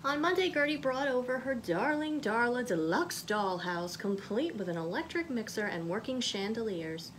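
A woman reads aloud as a children's librarian at storytime. Her voice is a little high and full of lift and fall, with expressive phrasing. It is recorded indoors at about arm's length, with faint noise. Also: moderate pace, few pauses, poor audio. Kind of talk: picture book read aloud to children. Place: small indoor room.

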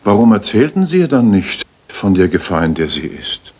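An actor playing a shrewd, self-assured Victorian master detective in a radio play, speaking in a probing, precise way. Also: male voice, on the low side, big pitch swings, normal speed, a little mellow, a little hiss or hum.

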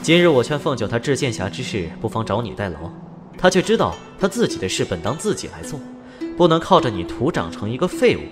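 An actor playing a chatty, teasing, genial immortal in an audio drama, with a playful, wry manner. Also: male voice, mid-range voice, big pitch swings, fast talker, natural voice. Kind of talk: Chinese audio drama acting. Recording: music behind the voice.

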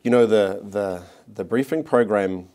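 A man's voice: on the low side, unhurried, dark tone. A man in his forties with a New Zealand accent, a marketing executive answering interview questions with frequent fillers and restarts. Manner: hesitant, many restarts and fillers. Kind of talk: corporate interview. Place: office studio set.

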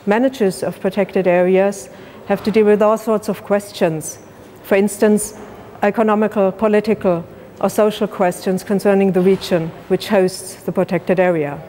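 A woman's voice: mid-range voice, fairly even intonation, moderate pace. A woman in her thirties giving a formal explanatory statement to camera.

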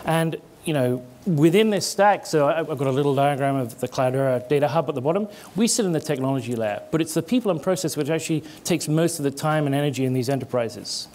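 A man's voice: on the high side, lively intonation, quick pace, few pauses, a little mellow. British man with American twang presenting. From Britain. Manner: conversational, gestures while explaining, restarts words. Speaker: conference speaker. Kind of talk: conference presentation. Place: large conference stage. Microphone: headset mic.

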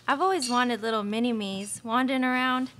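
A young woman performs a sweet belle for a radio comedy. She gushes and chatters obliviously in a fairly high voice. Her is sugary. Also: steady tone, normal speed, a bit bright, a little hiss or hum, close to an everyday voice. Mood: sugary, gushing. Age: young adult. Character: gushing, baby-crazy sweet Southern belle Peaches. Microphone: stage microphone on stand.

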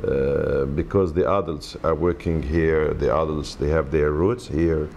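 A middle-aged man answering interview questions from his office, slowly and hesitantly, with many fillers. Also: a bass voice, steady tone, creaky, darkish tone, highs cut off, slight background noise. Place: office by a window.

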